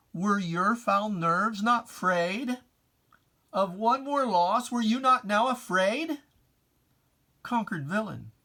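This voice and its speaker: A person giving a dramatic reading of religious verse in a theatrical, deliberate, taunting manner with pointed pauses. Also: medium pitch, pushed and bright. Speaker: poetry reader.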